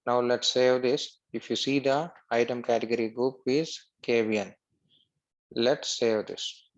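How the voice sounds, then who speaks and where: A man narrating a screen-share software tutorial step by step as an instructor. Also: mid-range voice, fairly even intonation, a little slow, lo-fi sound.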